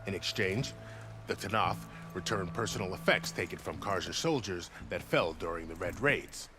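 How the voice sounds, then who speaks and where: A genial second-in-command in a fantasy video game. His voice sits low and moves animatedly, with a courteous, wry, teasing manner. Prominent music plays behind him. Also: animated, moderate pace. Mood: wry, explanatory. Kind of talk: video game cutscene acting.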